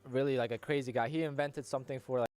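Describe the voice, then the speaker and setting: A man in casual podcast chat, talking in a quick, offhand way. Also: medium pitch, fairly even intonation, a little mellow, smooth, no room sound.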